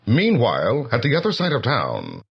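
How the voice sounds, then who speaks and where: A man's voice: medium pitch, huge pitch swings, moderate pace, creaky, husky, dull audio. A man reading dramatic scripted narration for a comic-book story. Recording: loud music under the voice.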